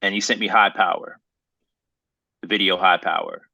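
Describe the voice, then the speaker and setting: Bright-voiced man telling rambling stories. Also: low voice, steady tone, normal speed, creaky, no echo, dull audio. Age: 30s. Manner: rambling, emphatic storytelling with slangy phrasing. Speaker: podcast co-host. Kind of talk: casual podcast chat about hip hop.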